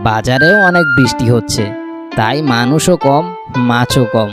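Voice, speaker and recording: A man's voice: medium pitch, expressive, normal speed, loud music behind the voice, close to an everyday voice. A man voicing a humble village farmer, an affectionate father and husband, in a cartoon. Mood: businesslike.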